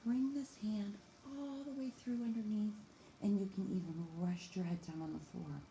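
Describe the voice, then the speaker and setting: A woman's voice: mid-range voice, steady tone, moderate pace, mellow. A woman teacher guiding children through yoga stretches with simple, encouraging step-by-step cues, talking as she demonstrates. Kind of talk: instructional exercise guidance, demonstrating while talking. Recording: echoey room, faint background noise.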